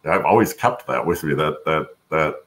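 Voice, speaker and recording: A middle-aged man with a gravelly voice, explaining things conversationally as an interview guest on a video call, thinking aloud as he goes. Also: extremely low pitch, normal speed, a bit bright. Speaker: guest, supply chain expert. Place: home room, on a video call.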